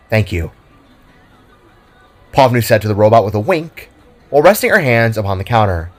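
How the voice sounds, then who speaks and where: A man reading scripted fiction narration as the storyteller. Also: mid-range voice.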